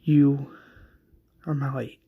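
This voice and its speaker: A man playing a lovestruck, protective man in a told drama story, speaking softly and earnestly. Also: mid-range voice, level tone, takes it slowly, vocal fry, slightly rough, phone-quality sound, exaggerated voice. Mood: loving.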